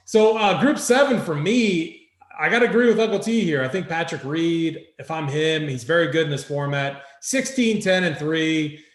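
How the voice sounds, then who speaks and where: A man's voice: on the high side, normal speed. A man hosting a sports betting show, talking through picks in an informal, conversational way. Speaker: host of a fantasy golf picks show. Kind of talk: casual sports betting talk. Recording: roomy echo.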